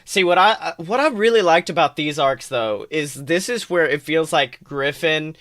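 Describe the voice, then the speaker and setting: A man co-hosting a podcast in casual fan chat, retelling things in an animated, conversational way. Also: a little high, moderate pace, no room sound, clean studio sound. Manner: animated retelling with acted-out quotes, casual swearing. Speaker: podcast co-host.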